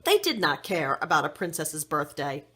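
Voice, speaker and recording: A woman reading a children's story aloud in an expressive, deliberate storytelling manner. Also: low voice, slightly rough. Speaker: story reader.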